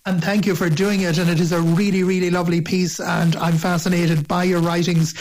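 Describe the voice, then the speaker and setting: A man's voice: sits high, little pitch movement, brisk, a little mellow. An Irish man presenting a community radio show, interviewing a guest with effusive, formal courtesy.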